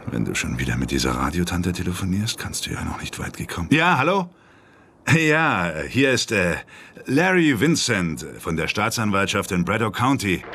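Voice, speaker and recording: A man's voice: deep voice, sing-song, normal speed, fairly bright tone, slight background noise, full character voice. An actor playing a crude, vengeful hitman in a German radio drama, muttering his threats. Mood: falsely polite. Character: menacing male hitman, vengeful, crude, slick when lying.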